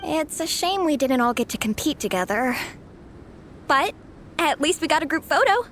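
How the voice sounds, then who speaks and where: A woman's voice: high-pitched, expressive, normal speed, fairly bright tone, slight background noise. A woman playing an upbeat young female teammate in a video game, with an enthusiastic delivery. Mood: encouraging. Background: background music.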